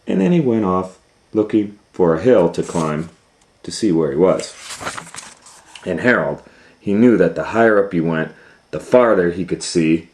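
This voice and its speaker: Very deep, slow-paced male storyteller. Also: darkish tone. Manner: improvised asides and musing hums. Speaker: storytime host and reader. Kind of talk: children's storybook reading, retold informally.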